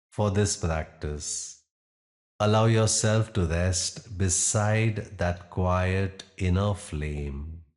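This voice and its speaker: A man guiding a yoga nidra relaxation in a calm, measured manner, leaving long pauses between short phrases. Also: low-pitched. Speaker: yoga nidra meditation guide. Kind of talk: guided relaxation meditation.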